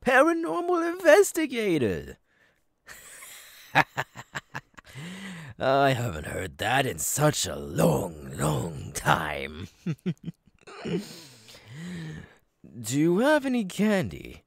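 A menacing, childlike circus clown monster for an ASMR audio roleplay. The voice is husky and creaking, drawn out extremely slowly. It lurches theatrically between breathy whispers and gleeful, cackling bursts. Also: male voice, medium pitch, wildly swooping pitch, no room sound, studio quality, heavily acted. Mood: playful menace.

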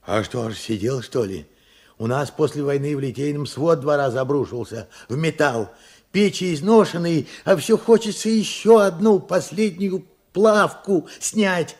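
An actor playing an older working-class father, earnest and proud, in Soviet radio drama style, with a husky, creaky, emphatic voice. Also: on the high side, a little slow, darkish tone. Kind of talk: Soviet radio play acting.

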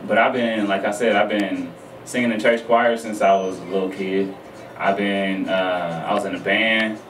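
A young man with a fairly low voice talks casually as a music guest. He sounds set back from the microphone in a roomy studio, and there is light noise behind him. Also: steady tone, moderate pace, fairly bright tone, far from the mic. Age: young adult. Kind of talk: casual interview chat. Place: small radio studio, indoors. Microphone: studio microphone on boom arm. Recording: roomy studio, noisy, off mic.

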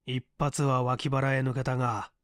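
A man playing a calm tough guy who lectures and commands in a leisurely, cool manner in an audiobook story. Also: medium pitch, a little slow, no echo, studio quality, natural voice. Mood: stern, unhurried. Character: calm, unhurried tough man coolly lecturing his beaten foe.

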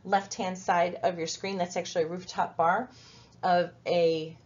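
A woman's voice: lowish voice, flat delivery, measured pace. A middle-aged woman from the United States giving a conversational training presentation over slides, with many fillers and restarts. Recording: dull lo-fi webinar audio.

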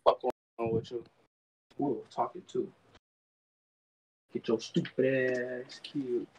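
A man's voice: mid-range voice, steady tone, slow, slight background noise, low-quality recording. A young man joking and trash talking with friends, heard from across the room. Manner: loud teasing, trash talk. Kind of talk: casual joking banter.

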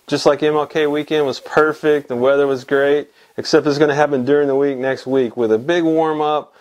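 A man's voice: fairly high pitch, fairly even intonation, fairly quick. Middle-aged texas guy rambling through his fishing report, ums and restarts, small shop, desk camera. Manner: rambling, many restarts and fillers. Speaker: fly fishing show host. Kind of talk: casual weekly report to camera. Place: small home fly shop, indoors. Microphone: camera mic at desk distance.

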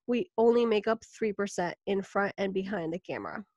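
A woman hosting a podcast conversation in a casual, chatty way, often pausing with fillers and breaking into laughs. Her voice sits at an ordinary middle pitch. The sound is dry and close, with no room echo. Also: darkish tone, highs cut off. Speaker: podcast host.

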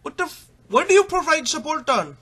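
A man's voice: very high-pitched, sing-song, brisk, bright, forceful tone, voice pushed up, heavily acted. A young man putting on an Indian accent as a pestering, needy tech support caller in a prank call. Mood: hesitant. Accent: put-on Indian. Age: young adult. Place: small home bedroom, indoors.

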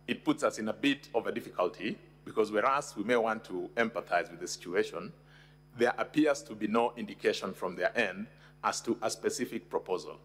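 A man's voice: on the low side, a bit bright, a little hiss or hum. A middle-aged man with a Kenyan English accent making a formal, deferential legal submission to the Speaker at a desk microphone. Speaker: counsel. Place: large parliamentary chamber. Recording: long hall reverb.

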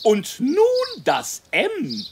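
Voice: high-pitched, wildly swooping pitch, extremely slow, full character voice. An actor voicing a prim male gander schoolteacher who patiently instructs and corrects in a children's cartoon. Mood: instructive. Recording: birdsong noise throughout.

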